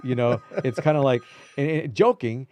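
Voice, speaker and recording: A man's voice: mid-range voice, normal speed, darkish tone, no room sound. A man speaking as a veteran reporter and interview guest, in casual conversational talk full of repetition and asides.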